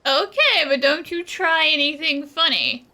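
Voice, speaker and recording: A woman's voice: high voice, lively intonation, a little slow, pushed and bright, full character voice. An actress playing a forthright young female companion who muses aloud and stands firm. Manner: forthright, musing. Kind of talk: game voice acting.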